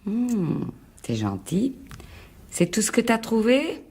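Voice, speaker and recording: An actress playing an anxious, fragile wife in a radio mystery drama, husky, plaintive and reproachful. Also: female voice, on the high side, sing-song, takes it slowly, darkish tone, exaggerated voice. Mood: bitter, reproachful. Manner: plaintive, pleading.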